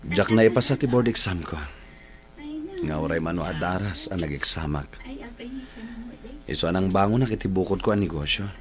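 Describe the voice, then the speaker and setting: A man's voice: sits low, moderate pace, pauses often, lightly acted. An actor with an Ilocano accent playing an earnest, apologetic young man in a radio drama. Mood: sincere, downcast. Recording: music bed under the dialogue.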